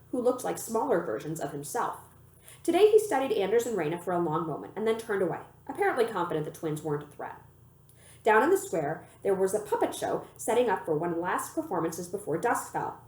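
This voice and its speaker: Animated woman reading a children's story, echoey room. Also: mid-range voice. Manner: animated storytelling, acts out the dialogue. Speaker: library reader of children's books. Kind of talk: expressive read-aloud of a children's novel.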